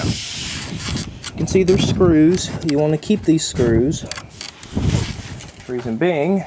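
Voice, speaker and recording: A man's voice: fairly high pitch, very noisy. A young man with a nasal voice, chatting casually as he shows a hands-on project outdoors on a porch, filmed on a handheld camera. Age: young adult. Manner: casual and chatty, explaining hands-on while handling gear. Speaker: DIY video maker. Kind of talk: casual how-to vlog. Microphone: handheld camera mic.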